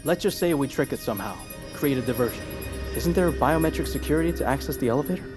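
A man's voice: medium pitch, quick pace, over music, natural voice. An actor playing a wary, practical man questioning an escape plan in an audio fiction drama.

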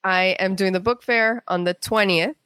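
A woman talking casually and informally as an interview guest, chatty and animated. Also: medium pitch, normal speed, smooth, no room sound, clean studio sound. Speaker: guest, playwright and author.

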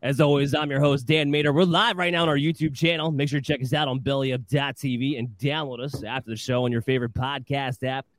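A man hosting a live fantasy football podcast, energetic and upbeat, with a slightly hoarse voice. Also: medium pitch, fast talker, hardly any pauses, no echo.

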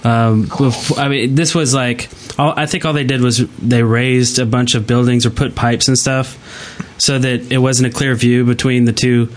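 A man's voice: medium pitch, little pitch movement, moderate pace, few pauses, slight background noise. A man chatting casually as a podcast co-host, often with brief reactions.

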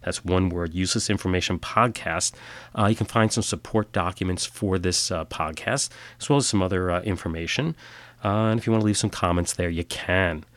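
A man with a very low voice tells strange true stories in a steady, matter-of-fact way. He sounds a little stuffy and nasal from a cold. The recording is close and dry, with no room sound. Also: a little fast, no echo. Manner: plain matter-of-fact delivery with filler uhs in sign-off. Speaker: podcast host. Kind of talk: scripted storytelling narration.

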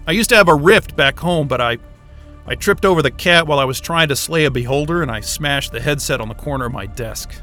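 An actor playing an irreverent, wisecracking astrophysicist in a sci-fi audio drama, with a sarcastic, informal and exasperated way of talking. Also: male voice, on the high side, fairly quick, bright, forceful tone, soft background music. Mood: curious, chatty. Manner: sarcastic, informal, hesitant muttering and outbursts.

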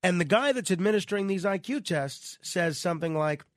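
A man's voice: high voice, animated, fairly quick, no echo, clean studio sound. A man hosting conversational talk radio, his voice nasal and stuffed up with a cold. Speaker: radio host.

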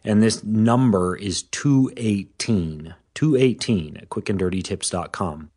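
A man hosting a fitness podcast, a little hoarse, explaining things in an informal scripted way. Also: on the low side, measured pace, darkish tone. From Washington State.

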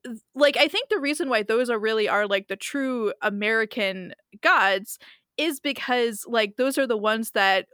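Young woman co-host, higher voice, bright and tense, dry close sound, filler-heavy chat. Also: clear, resonant tone, dry recording. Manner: fast, animated talk full of "like" fillers. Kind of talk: casual discussion.

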